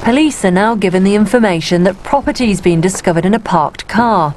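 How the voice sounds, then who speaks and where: British woman doing documentary voice-over, calm and steady, noisy background. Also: mid-range voice, fairly quick. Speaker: documentary narrator. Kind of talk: scripted narration.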